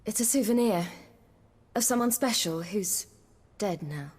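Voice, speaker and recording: A woman playing a guarded, weary young traveller in a video game, measured and reticent, with a soft husky voice. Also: mid-range voice, expressive. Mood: subdued, reticent. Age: young adult.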